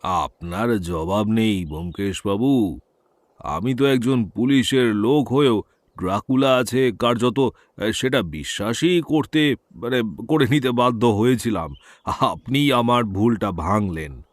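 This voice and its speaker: Guy doing admiring cop, lowish voice, halting chatty delivery, dry audio drama sound. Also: no echo, lightly acted. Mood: admiring, sheepish. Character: admiring, humbled male police officer.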